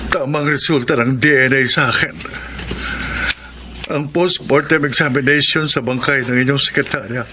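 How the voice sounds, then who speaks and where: A man's voice: mid-range voice, steady tone, moderate pace, background noise, lightly acted. An actor playing a young male driver who stammers nervously while confessing to a crime in a radio drama. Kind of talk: 1990s radio drama acting.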